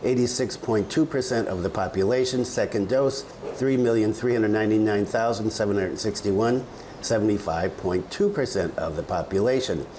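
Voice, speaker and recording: A man's voice: lowish voice, normal speed, darkish tone, a little hiss or hum, poor audio. A man hosting a casual news update video, reading figures and articles aloud and adding his own chatty asides. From lives in Bali. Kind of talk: casual spoken news roundup.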